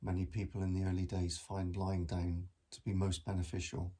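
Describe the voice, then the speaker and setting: A man guiding a meditation in a gentle, measured manner with long pauses between phrases. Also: deep voice, completely flat delivery, slightly rough. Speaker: mindfulness meditation teacher. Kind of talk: guided body scan meditation.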